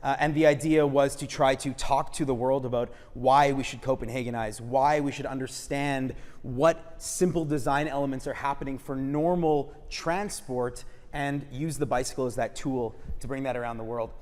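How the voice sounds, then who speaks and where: A French Canadian man delivers a lecture in an auditorium with a brisk, conversational delivery. His voice sits at a mid pitch, and he pauses on frequent uhs. The hall gives his voice a long reverb. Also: steady tone, darkish tone. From Montreal, Canada. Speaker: guest lecturer, urban designer. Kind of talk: lecture presentation.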